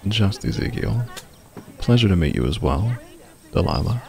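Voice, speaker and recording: A man's voice: lowish voice, sing-song, moderate pace, creaky, darkish tone. A man playing a suave, polite, charming traveling stranger in an audio roleplay. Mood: courteous, charming. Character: smooth, courteous, charming male stranger passing through.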